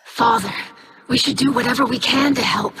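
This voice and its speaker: An earnest young alien scholar. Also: female voice, sits high, sing-song, normal speed, vocal fry, a bit bright, slight background noise, exaggerated voice. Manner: earnest, eager.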